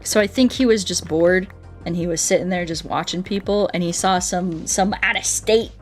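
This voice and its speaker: Young American female streamer, slightly low voice, informal and rambling storytelling delivery, faint music behind. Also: moderate pace. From United States. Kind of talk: casual livestream chat while gaming. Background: background music.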